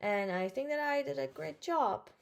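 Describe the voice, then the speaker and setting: A woman's voice: high voice, animated, normal speed, creaky, heavy background noise. A woman in her twenties from Turkey with a Turkish accent, narrating a personal vlog in an earnest, careful way with some hesitant fillers. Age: 20s. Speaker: student vlogger.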